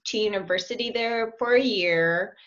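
A woman's voice: medium pitch, normal speed, fairly bright tone. Chatty woman on a lo-fi video call. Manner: chatty, laughs often, hesitant restarts. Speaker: language student. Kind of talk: casual interview over video call. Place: small room at home. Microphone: laptop webcam on video call. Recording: dull lo-fi video call audio.

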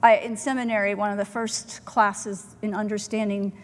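A middle-aged woman pastor giving a sermon from the pulpit in a church sanctuary, measured and earnest, with deliberate pauses. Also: medium pitch, flat delivery. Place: church sanctuary, in front of the chancel. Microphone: pulpit microphone, far camera. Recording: long church hall reverb.

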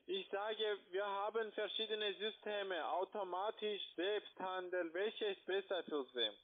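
Male telesales caller, non-native with foreign accent, high voice, insistent and halting, thin phone-line sound. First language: not German. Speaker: call-centre investment salesperson. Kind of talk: phone sales pitch. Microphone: phone call. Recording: tinny narrow-band phone line.

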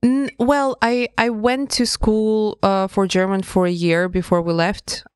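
A woman's voice: mid-range voice, flat delivery, a little slow, clear, resonant tone, dry recording. Woman in her thirties, thoughtful and hesitant podcast guest, from Russia; dry close studio mic. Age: 30s. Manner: thoughtful, hesitant, laughs often. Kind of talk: casual interview conversation. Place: studio room with table. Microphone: studio mic with headphones.

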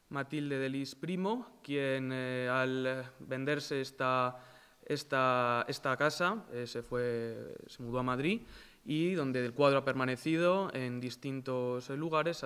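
Hesitant man, smooth resonant voice, reverb. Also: mid-range voice, a bit bright. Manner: hesitant, halting with many pauses. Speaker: interviewee. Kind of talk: interview soundbite.

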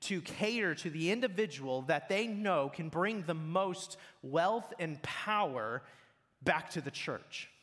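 A man's voice: on the high side, animated, slow, a bit bright. A man preaching a sermon in an earnest, blunt way that builds in intensity. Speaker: pastor. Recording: long hall reverb.

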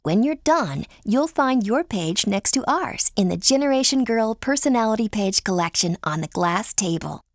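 A friendly teenage girl guides players through a dance game. Her voice is fairly high and upbeat, with clear instructional delivery. The recording is dry and close, like studio game dialogue. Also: animated, moderate pace, few pauses, dry recording. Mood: upbeat, helpful. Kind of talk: scripted video game instructions.

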